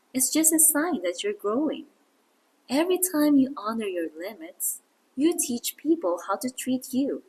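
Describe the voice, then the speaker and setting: A woman narrating a scripted read-aloud English lesson in a deliberate, encouraging, instructional way. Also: fairly high pitch, darkish tone, breathy edge, rough recording. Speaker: English reading teacher and narrator.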